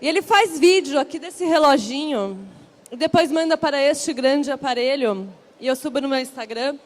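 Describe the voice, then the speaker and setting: A woman's voice: high-pitched, normal speed. A woman with a São Paulo accent hosting a panel on stage at a large event, chatty and upbeat as she rallies the audience. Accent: São Paulo Portuguese. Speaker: event host.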